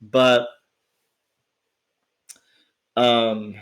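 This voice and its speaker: A man with a raspy, sick voice, chatting casually as host of his livestream, rambling and swearing with lots of restarts. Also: mid-range voice, level tone, drawn-out words, fairly bright tone. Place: at home.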